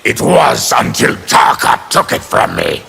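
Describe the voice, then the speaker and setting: An actor playing a bitter, anguished monstrous mutant in a video game, with a harsh, gravelly growl. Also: male voice, medium pitch, sing-song, measured pace, music in the background, exaggerated voice. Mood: angry resentment. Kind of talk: video game character dialogue.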